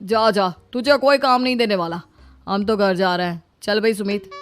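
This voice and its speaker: A woman's voice: medium pitch, normal speed, soft background music, lightly acted. A woman voicing a young son-in-law with a complaining, dismissive manner in scripted story dialogue. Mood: grumbling.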